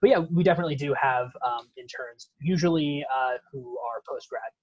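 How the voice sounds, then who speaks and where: A man, a guest speaker, answering questions in a relaxed, hedging way on a video call. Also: medium pitch, moderate pace, breathy edge, no echo, lo-fi sound. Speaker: guest speaker from a development firm. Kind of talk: casual Q&A answer.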